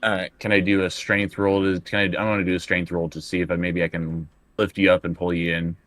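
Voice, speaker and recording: A man with a low, forceful voice plays a tabletop role-playing game. He talks casually and haltingly, repeating himself as he puts his moves to the game master, in a close, dry sound. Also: flat delivery, no room sound. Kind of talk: casual improvised game play.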